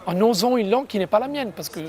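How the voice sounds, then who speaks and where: Soft-spoken woman. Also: on the high side, expressive, fast talker, a little hiss or hum. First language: Italian. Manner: thoughtful, searching for words, soft hesitations. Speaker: poet. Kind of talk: interview, reflective explanation.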